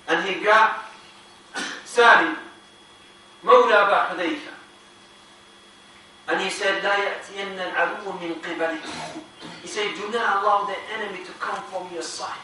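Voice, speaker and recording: A man in his thirties giving an animated Islamic lecture to a seated audience, telling stories with loud, emphatic peaks and Arabic phrases mixed in, on a lapel mic. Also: sits high, distant mic, a little hiss or hum. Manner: mixes in Arabic quotations and phrases. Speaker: Islamic preacher. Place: large indoor hall with a seated crowd. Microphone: clip-on lapel mic. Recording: very echoey hall, voice from afar.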